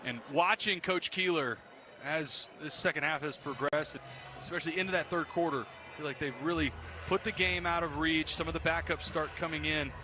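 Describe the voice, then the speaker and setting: A man's voice: fairly high pitch, normal speed. A man working as a color commentator, giving analysis during a live football broadcast.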